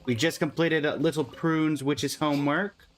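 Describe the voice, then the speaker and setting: A man's voice: a little high, fairly even intonation, normal speed, faint music behind. A man livestreaming a game, chatting casually and in a friendly way with viewers while commenting on his play.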